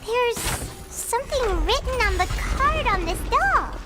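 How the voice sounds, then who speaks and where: A woman voicing a tiny, excitable, curious fairy companion with a squeaky childlike voice in a video game. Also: unhurried, heavily acted. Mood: curious, uneasy. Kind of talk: video game character acting. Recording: loud music behind the voice.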